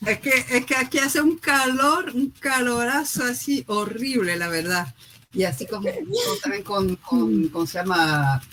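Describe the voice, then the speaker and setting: A woman author talking through her new book in a relaxed way, pausing often with fillers and restarts, heard over a video call. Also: fairly high pitch, a little hiss or hum. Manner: hesitant, many fillers and restarts. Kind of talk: casual spoken book presentation.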